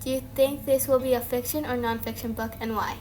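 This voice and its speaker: Female reader, high voice, children's story, slightly halting delivery, music behind. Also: fairly even intonation, darkish tone. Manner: halting, repeats words and phrases. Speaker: student reader. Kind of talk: reading a children's storybook aloud.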